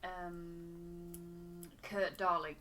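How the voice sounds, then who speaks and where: A woman's voice: on the low side, monotone, drawn-out words, a bit bright, a little hiss or hum. A woman chatting casually as co-host of a video game playthrough.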